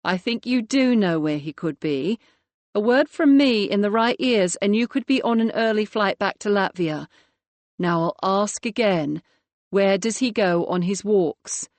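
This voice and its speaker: Woman voicing a brisk commanding detective. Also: mid-range voice, dry recording, close to an everyday voice. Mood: firm. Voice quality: crisp, authoritative. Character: brisk female police detective, firm and commanding.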